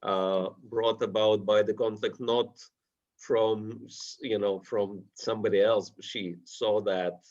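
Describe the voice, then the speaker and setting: A man moderating an online panel, introducing speakers in a formal, slightly halting way. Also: fairly low pitch, fairly even intonation, a little mellow. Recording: dull lo-fi audio.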